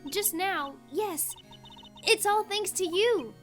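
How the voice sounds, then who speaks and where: A woman's voice: very high-pitched, takes it slowly, faint music behind. A woman voicing an earnest, eager, girlish young woman in a game fan dub, sweet and emphatic. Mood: grateful.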